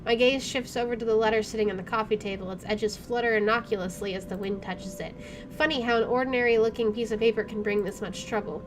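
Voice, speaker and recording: High-voiced woman reading a game aloud. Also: steady tone, fast, noisy background. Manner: dramatic reading, reacting with exclamations. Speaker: gamer doing a playthrough. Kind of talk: reading visual novel text aloud with casual commentary. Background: soft game music.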